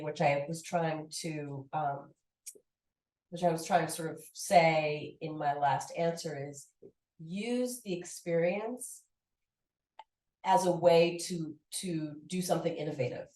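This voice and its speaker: A woman on a panel answers with animated energy, acting out quoted voices as she goes. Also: deep voice. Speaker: panelist, anthology contributor. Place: event hall. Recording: roomy echo, distant mic.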